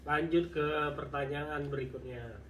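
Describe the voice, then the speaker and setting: A relaxed man chimes in now and then with short, quiet remarks. He sits a bit away from the microphone, and faint room noise runs behind him. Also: a little high, unhurried, distant mic. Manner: quiet, laid-back interjections. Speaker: vlogger's husband. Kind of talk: casual chat.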